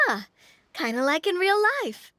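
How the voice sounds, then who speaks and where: A woman's voice: high-pitched, sharp tone, no room sound, heavily acted. A woman voicing a confident, playful young game player in a video game, with a breezy, teasing manner. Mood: amused, easygoing. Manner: breezy, self-assured, teasing.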